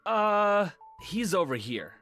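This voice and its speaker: A man's voice: high voice, very animated, slow, a bit bright, faint music behind, full character voice. An actor playing an eager, goofy young henchman in a dubbed game story, theatrical and playful. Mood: sheepish.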